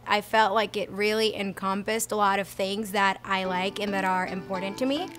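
A woman in her thirties from Puerto Rico, an engineer answering interview questions with clear, earnest explanations, recorded on an earbud mic in a lab storeroom. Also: mid-range voice, steady tone, moderate pace, a bit bright. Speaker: research and development engineer. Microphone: earbud headset mic. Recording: music bed underneath.

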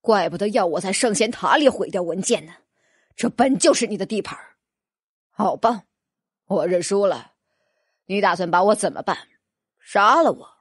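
A woman's voice: mid-range voice, expressive, moderate pace, long pauses, husky, no echo, studio quality. A woman voicing a proud, scornful nobleman mage in a fantasy audiobook, sarcastic, clipped and challenging. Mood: bitter sarcasm. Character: proud, scornful male nobleman mage, cornered but composed.

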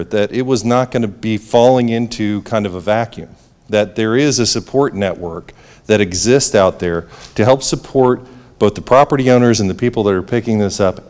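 A man's voice: medium pitch, darkish tone. A man giving a conversational talk with a handheld mic in a library auditorium, speaking to the audience. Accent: New England. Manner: conversational, jokey. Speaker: presenter. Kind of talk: public presentation.

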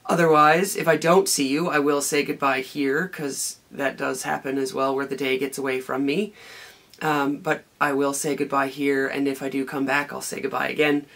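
A woman in her forties with a hoarse, raspy voice, chatting casually in her home vlog as a knitting podcaster, rambling with many ums and restarts. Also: extremely low pitch, level tone, normal speed, fairly bright tone. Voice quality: gravelly. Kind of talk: casual daily vlog chat. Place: home craft room with shelves, indoors.

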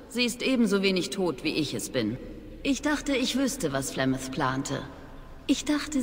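An actress playing a proud, aloof young witch in a video game, with measured, formal, disdainful speech. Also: lowish voice. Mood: grave. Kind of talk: dramatic video game dialogue. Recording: long reverb, noisy game ambience.

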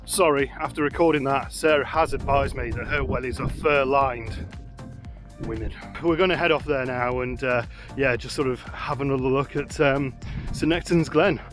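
A man's voice: mid-range voice, moderate pace. A man in his forties from the UK, a landscape photographer vlogging outdoors in a wooded glen, talking casually with lots of fillers and restarts into a camera held at arm's length. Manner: chatty, rambling with many fillers and restarts. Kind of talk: casual vlog narration. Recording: with music playing underneath.

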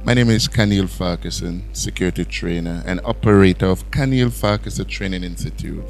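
A man's voice: low-pitched, fairly quick, vocal fry, soft background music. A Jamaican man in his thirties, operator of a training institute, giving an earnest formal address into a handheld mic while seated indoors. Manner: earnest, speech-like. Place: indoor room, seated in armchair.

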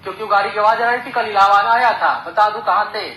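A man's voice: high voice, steady tone, fast talker, pushed and bright. A man in a heated personal phone argument, speaking as an accusing husband who repeats himself. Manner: accusatory, repetitive. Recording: noisy recorded phone call, band-limited.

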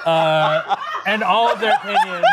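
Man host, slightly high voice, halting delivery, noisy recording. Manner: hesitant, full of ums. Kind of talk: comedy show hosting.

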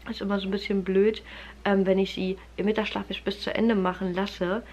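A woman's voice: medium pitch, flat delivery, a little fast. A woman in her thirties vlogs from her living room with a slightly stuffy, cold-thickened voice. She rambles casually with lots of fillers, talking to viewers like close friends. The phone camera sits close at arm's length. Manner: chatty, many fillers, addresses viewers warmly as friends. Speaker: family vlogger. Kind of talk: casual vlog chat to camera. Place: living room at home, indoors. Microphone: camera held close at arm's length.

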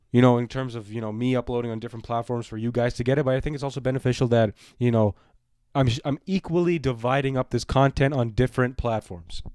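Young male vlogger, voice going hoarse, rambly, dry close sound. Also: mid-range voice, a little mellow, no room sound. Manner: rambling, frequent fillers and throat clearing. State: losing his voice. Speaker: wrestling news vlogger. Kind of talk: casual news vlog.

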